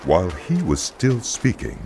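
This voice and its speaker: A man's voice: low-pitched, huge pitch swings, unhurried, gravelly, warm tone, a little hiss or hum. A man narrating a dramatized audio Bible as a solemn storyteller.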